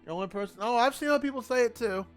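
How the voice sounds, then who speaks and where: Male game streamer, loud natural voice, rambling, fairly high pitch. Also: very fast, soft background music. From Italian family. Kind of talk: casual gaming livestream chat.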